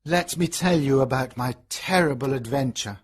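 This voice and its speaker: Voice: huge pitch swings, slow pace, breathy edge, exaggerated voice. A reader voicing a worried older father in a storybook, who talks in an anxious, pleading way. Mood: sad. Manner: pleading, anxious, with rising, lifted pitch. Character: worried old father of grown children. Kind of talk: storybook reading.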